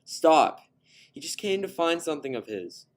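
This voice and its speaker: A friendly young woman, eager and a bit flustered, speaking in a raised, lighter voice, as a male narrator puts her on. The reading stays gentle, with a low, gravelly timbre underneath. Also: normal speed, lightly acted. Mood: pleading.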